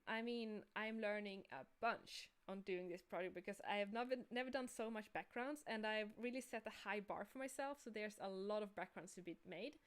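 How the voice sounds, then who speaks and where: A woman's voice: mid-range voice, clear, resonant tone. A woman chatting informally and enthusiastically as she hosts a livestream about her own creative work. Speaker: game creator and artist hosting a livestream. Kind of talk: casual livestream chat.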